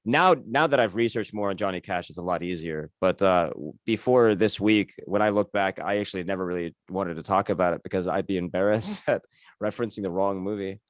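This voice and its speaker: A man's voice: low-pitched, a little fast, no room sound, studio quality. A man in his thirties hosting a casual interview, rambling and correcting himself as he talks. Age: 30s. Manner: rambling, self-correcting, chuckles while talking. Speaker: podcast host.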